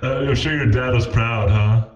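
A man's voice: medium pitch, steady tone, moderate pace. An American man hosting a conversational interview, speaking in a reflective, wordy way. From United States. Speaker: interviewer, host. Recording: echoey room, dull lo-fi audio.

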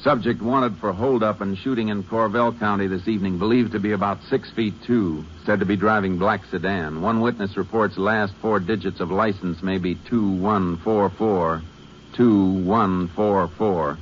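Steady low-voiced lawman, calm, methodical, played by a man, dull old radio recording. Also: moderate pace, a little hiss or hum, natural voice. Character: calm, steady male Texas lawman, middle-aged. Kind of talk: 1950s radio drama acting.

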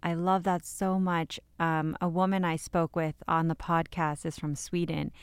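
A woman hosts a podcast interview and keeps nudging her guest along with short affirmations. Her voice sits a little low, and it sounds clean and smooth. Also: little pitch movement, measured pace. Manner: warm, affirming interviewer, many small acknowledgements. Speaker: podcast host. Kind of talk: interview conversation.

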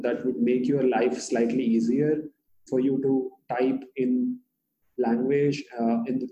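A man with an Indian accent, whose first language is Hindi, speaking as a guest in an interview. He talks haltingly, with many restarts and fillers. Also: mid-range voice, warm tone, roomy sound, low-quality recording. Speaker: startup founder, guest. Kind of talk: interview conversation.

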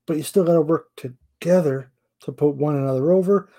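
Blunt, husky podcast co-host man. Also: fairly high pitch, a little fast, warm tone. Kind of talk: casual podcast chat.

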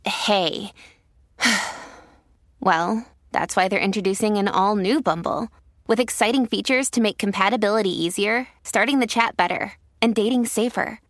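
A woman's voice: mid-range voice, no room sound, studio quality. A woman giving a playful scripted ad read with mock quotes. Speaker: ad reader.

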